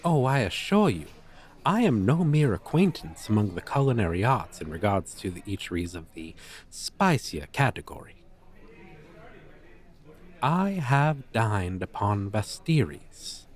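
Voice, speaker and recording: A man's voice: lowish voice, very animated, measured pace. A man playing a haughty, formal dragon teacher in an audio roleplay, with pompous, wordy, old-fashioned phrasing. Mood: haughty, amused. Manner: pompous, verbose, archaic formal diction. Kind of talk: ASMR roleplay, character acting.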